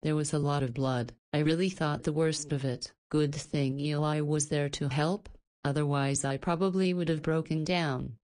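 A woman's voice: sits low, fairly even intonation, normal speed, hardly any pauses, darkish tone, lightly acted. An actress playing a caring, flirty woman in a visual novel, chiding and teasing like a doting guardian. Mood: concerned, warm.

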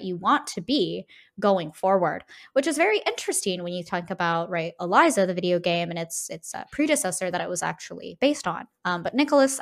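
A woman co-hosting a podcast in an analytical, conversational way, often tagging her points with a questioning "right?". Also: lowish voice. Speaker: podcast co-host. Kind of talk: conversational game discussion.

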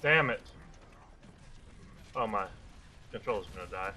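A young man with a bright, forceful voice chats through a multiplayer game. He talks casually into a close mic, excitable and swearing freely as he reacts. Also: lowish voice, a little slow. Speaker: video game player. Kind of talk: casual multiplayer gaming chat.

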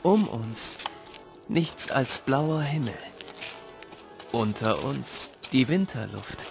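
An actor as a wry storyteller, narrating his own adventure in a measured, vivid audiobook style. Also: medium pitch, lively intonation, gravelly, over music. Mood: tense. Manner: measured, descriptive. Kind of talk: scripted first-person audiobook narration.

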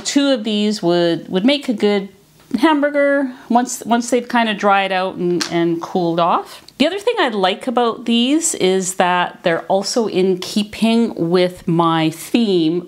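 An older woman, around her sixties, casually explaining as she demonstrates cooking in a conversational way. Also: fairly low pitch. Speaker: cooking video host. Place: home kitchen, indoors.

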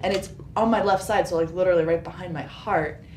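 A woman talks casually and in detail as a patient. Her voice sits a little low, with noticeable room echo and faint noise behind it. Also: fairly even intonation, fast, darkish tone. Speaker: patient, yoga teacher. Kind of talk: casual, detailed account of body complaints. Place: small carpeted treatment room. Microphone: boom microphone on a stand. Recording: roomy sound with background hiss.

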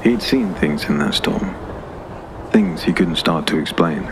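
A man reads dark fantasy fiction as a scripted audiobook narration. His voice is low and deep. Clear atmospheric noise sits behind him throughout. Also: unhurried. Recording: clear background ambience noise.

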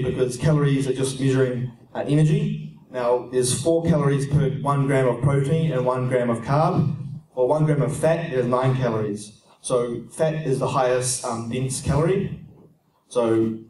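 A young New Zealand man talks through audience questions at a seminar, explaining in a steady, plain way with frequent "um"s. His voice sits in a middling range. It is picked up from across a roomy, echoing conference hall, with faint noise behind it. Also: mid-range voice, far from the mic. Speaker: fitness speaker answering audience questions. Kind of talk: casual Q&A seminar talk. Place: large conference room at an expo. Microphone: handheld mic, heard from a distant camera. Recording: echoey room heard from afar.

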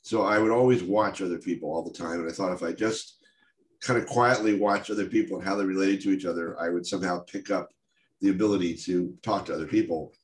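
An older American man with a head cold, talking in a halting, casual way on a video call from his home study, full of restarts and wry asides. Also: low voice, steady tone, slight background noise, rough recording. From United States. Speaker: guest speaker. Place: home office or study, indoors. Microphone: video call webcam.

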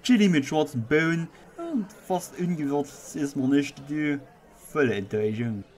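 A man's voice: on the high side, a little slow, darkish tone, close to an everyday voice. A man playing fussy, pompous chili judges who appraise each dish in a comic story. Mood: appraising. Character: fussy, appreciative male chili connoisseur judges.

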